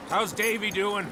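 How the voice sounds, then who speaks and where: A man's voice: sits high, vocal fry, full character voice. An actor playing a sly, reckless American outlaw gunman in a western video game, cocky and taunting with a menacing edge. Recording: loud ambient noise behind voice.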